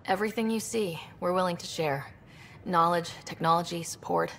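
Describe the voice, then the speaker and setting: A woman's voice: on the low side, moderate pace, creaky, fairly bright tone, a little hiss or hum. An actress playing a young, composed and earnest explorer who leads her team in a video game. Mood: earnest, diplomatic.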